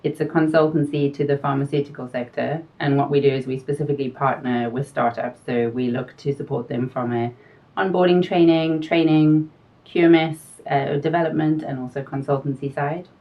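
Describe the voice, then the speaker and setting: A middle-aged woman with a South African accent, explaining technical quality topics in a measured way, pausing now and then with fillers. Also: sits low, fairly even intonation. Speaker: quality consultant guest. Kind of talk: explanatory interview answers. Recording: distant mic, dull sound.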